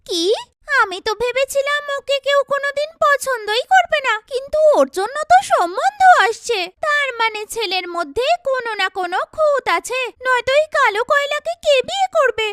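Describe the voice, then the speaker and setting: A woman acts out a spiteful young woman from an animated family drama. Her voice is very high and lively, recorded close and dry, and she needles and belittles with theatrical scorn. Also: normal speed, no room sound. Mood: scornful surprise. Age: young adult. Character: spiteful, taunting young sister sneering at her sibling. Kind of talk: animated moral-story cartoon acting.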